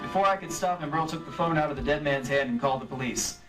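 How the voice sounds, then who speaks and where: Guy as wry hardboiled investigator, old radio show style, quick, off mic with music. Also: a little high, natural voice. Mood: cool, wry. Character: cool, wry male insurance investigator, hard-boiled detective. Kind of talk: old-time radio drama acting and first-person narration. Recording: distant live stage recording.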